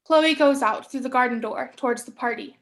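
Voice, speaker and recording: Young adult female narrator for a staged play reading; high pitch, scripted stage directions. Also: fairly quick.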